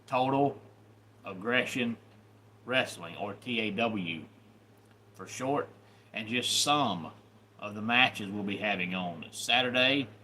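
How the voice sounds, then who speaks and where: A man's voice: fairly low pitch, pushed and bright, slight background noise. A man with a Southern cowboy accent hosting a casual live stream, greeting viewers by name and plugging upcoming wrestling shows in an announcer's manner. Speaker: YouTube live host and wrestling referee. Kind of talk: casual livestream chat.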